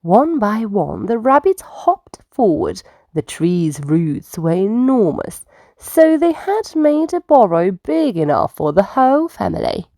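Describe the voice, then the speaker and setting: A woman reading bedtime stories aloud to young children in an expressive, unhurried storytelling manner. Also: mid-range voice, dry recording, clean studio sound.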